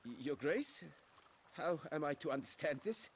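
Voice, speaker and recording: Bloke doing posh fussy wine steward in a game, kinda high voice, super up and down, pompous. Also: male voice, lively intonation, normal speed, a little hiss or hum, natural voice. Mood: flustered, deferential. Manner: formal, pompous, deferential. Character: fussy, proud male winery steward, obsequious to royalty. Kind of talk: video game voice acting.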